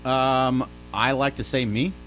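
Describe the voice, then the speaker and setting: A man's voice: medium pitch, fairly even intonation, a bit bright, slight background noise, low-quality recording. A man joining a sports radio show who playfully ribs the hosts. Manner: quick, playful ribbing. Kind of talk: casual sports radio banter.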